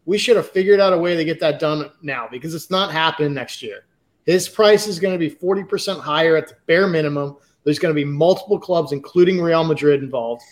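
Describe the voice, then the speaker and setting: A man co-hosting a casual sports chat, talking bluntly and with exasperation, swearing now and then. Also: a little high. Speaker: podcast co-host.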